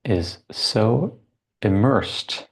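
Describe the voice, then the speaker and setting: A man leading a guided meditation as a teacher, speaking contemplatively in short phrases with long pauses between them. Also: lowish voice, lively intonation, creaky, breathy edge.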